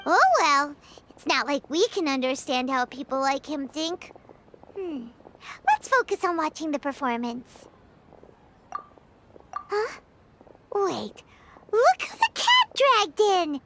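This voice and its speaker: A woman voicing a small, chirpy female fairy companion in a game, chatty and expressive, with a high squeaky voice. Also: takes it slowly, long pauses, heavy background noise, full character voice. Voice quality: high squeaky voice, speaks of herself in third person.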